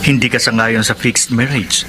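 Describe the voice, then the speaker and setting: A man's voice: mid-range voice, steady tone, normal speed, over loud music, close to an everyday voice, rough recording. A male actor with a Filipino accent playing a nosy, teasing young buddy in a radio drama. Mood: teasing, curious. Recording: loud background ambience noise.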